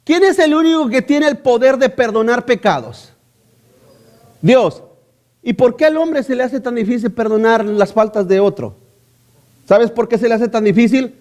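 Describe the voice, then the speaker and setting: A man preaching in a strikingly high voice, pressing his point by repeating phrases. He keeps turning to the congregation with rhetorical questions as a pastor. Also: normal speed, darkish tone. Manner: emphatic, repeats key phrases. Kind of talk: sermon.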